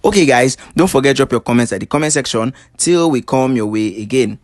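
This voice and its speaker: A man's voice: mid-range voice, lively intonation, brisk. A man hosting a gossip commentary monologue, speaking emphatically and repeating his points with rhetorical questions. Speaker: host of a celebrity gossip channel.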